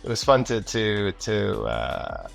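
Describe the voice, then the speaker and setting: A man chatting casually in an interview as a voice actor, animated and rambling, repeating words as he tells anecdotes. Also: on the low side, slow pace, gravelly, soft background music.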